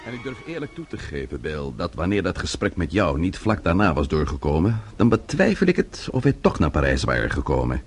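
A man's voice: low voice, wildly swooping pitch, a little fast, few pauses, slightly rough, exaggerated voice. An actor playing an anxious, wry husband in a Dutch radio play, talking urgently and drily with his friend. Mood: earnest, uneasy.

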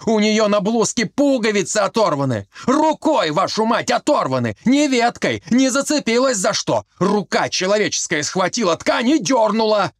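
A man reading a story aloud and voicing a distraught, furious young village carpenter who shouts through tears. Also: sits high, expressive, moderate pace, no echo, voice pushed up. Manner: shouting, tearful.

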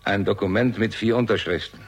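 A man's voice: fairly low pitch, steady tone, brisk, slightly rough. A man playing a bitter, cynical war veteran in a German radio crime drama, speaking in a curt, sardonic way. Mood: evasive, cynical.

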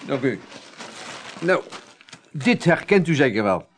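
Middle-aged electrician contractor, radio drama, medium pitch, practical, polite, explains carefully. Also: male voice, very animated, takes it slowly, slight background noise. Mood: earnest explaining. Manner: explanatory, courteous. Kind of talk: Dutch radio drama acting.

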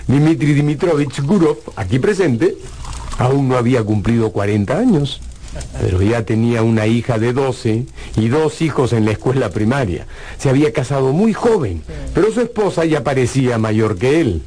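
A man's voice: mid-range voice, expressive, moderate pace, dark tone, breathy edge. An actor playing a gossipy friend who teases and coaxes as he tells his pal's story in a radio drama. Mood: gossipy, amused. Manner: teasing, coaxing, chatty. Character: teasing male friend, gossipy storyteller egging his pal on.

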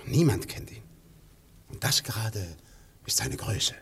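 An actor in a radio play playing a proud, conspiratorial anarchist poet with a rough, husky voice and a declamatory, intense manner. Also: male voice, fairly low pitch, sing-song, unhurried, a bit bright, heavily acted. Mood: solemn, boastful. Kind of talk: German radio play acting.

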